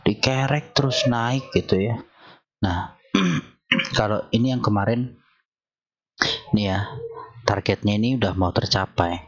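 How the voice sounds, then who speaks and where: A hoarse man explains stock charts in a relaxed, conversational way, with many fillers. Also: on the low side, takes it slowly, long pauses, muffled recording. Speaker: stock market analyst. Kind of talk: screen-share chart walkthrough.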